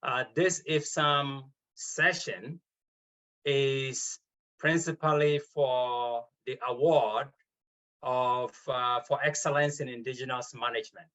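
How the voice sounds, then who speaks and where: A man's voice: mid-range voice, steady tone, takes it slowly, fairly bright tone, rough recording. A man chairing an award session over a video call, speaking formally and politely with frequent ums and uhs. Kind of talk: formal welcome and introduction.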